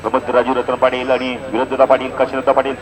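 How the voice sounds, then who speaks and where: A man's voice: mid-range voice, completely flat delivery, fast talker, poor audio. A man giving live commentary and announcements at a local outdoor cricket tournament. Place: outdoor village cricket ground. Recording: outdoor event sound, crowd behind.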